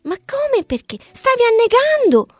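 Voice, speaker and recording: A woman voicing a big, kind, lonely young male bear in a children's audio story, talking softly and earnestly. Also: high voice, big pitch swings, moderate pace, dry recording, soft background music, pitched above the performer's own voice. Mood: urgent.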